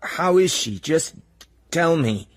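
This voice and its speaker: An actor playing a wounded, weary secret agent in a radio drama, halting, groggy and stammering. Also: male voice, on the high side, lively intonation, no room sound. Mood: anxious, pleading.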